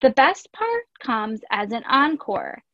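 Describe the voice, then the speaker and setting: A woman with a fairly high voice reads aloud in an expressive, teacherly way, pausing to explain things for young learners. The sound is dry and somewhat dull, like a lo-fi recording. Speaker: ballet teacher reading a picture book aloud. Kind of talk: storybook read-aloud with teaching asides. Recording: echoey room, dull lo-fi audio.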